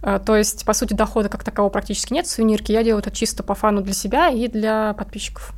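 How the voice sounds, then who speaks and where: A woman's voice: mid-range voice, fairly even intonation, rapid-fire. A woman speaking casually and candidly as an interview guest, informal and often correcting herself mid-sentence.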